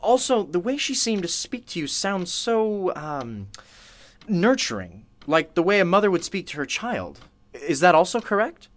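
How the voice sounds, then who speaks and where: A man plays a young male radio interviewer in an audio drama. His delivery swings widely in pitch and sounds eager and inquisitive. The phrasing is conversational, with small hesitations. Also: big pitch swings, moderate pace. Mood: curious, probing.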